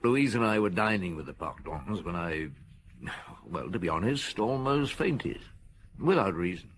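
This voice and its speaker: An actor playing a genial, reflective police inspector past fifty in a radio drama, with an unhurried, ruminative manner. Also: male voice, sits low, animated, a little hiss or hum. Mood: reminiscing. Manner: unhurried, ruminative, humming before replies.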